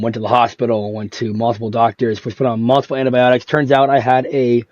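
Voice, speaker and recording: Raspy, hoarse man co-hosting a podcast. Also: medium pitch, a little mellow, dry recording, muffled recording. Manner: rambling, hedging with "like" and "you know". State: hoarse from illness. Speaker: podcast co-host. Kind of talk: casual hockey podcast chat.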